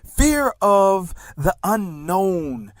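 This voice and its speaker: A man who grew up in Tonga, a native Tongan speaker, hosting a talk show as a success coach, delivering an emphatic motivational monologue with dramatic pauses and soft, hushed phrases. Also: high-pitched, very animated, no echo, studio quality. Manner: slow, emphatic, dramatic pauses, drops to soft near-whispers.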